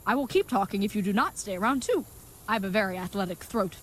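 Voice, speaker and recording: A woman's voice: medium pitch, expressive, fast talker, close to an everyday voice. A woman playing a grandiose, dim-witted chivalrous knight, noisy ambience. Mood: defiant, polite. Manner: grandiose, florid, mock-archaic phrasing. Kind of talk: comedic audio drama acting.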